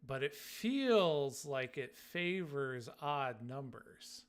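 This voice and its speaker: A man's voice: medium pitch, expressive, extremely slow. A man chatting casually about video games as a podcast co-host.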